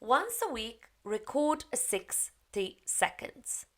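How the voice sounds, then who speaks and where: A woman's voice: fairly high pitch, sing-song, slow pace. A woman, an English coach giving a direct, encouraging spoken lesson. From Poland. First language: Polish. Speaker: English coach and TEFL teacher.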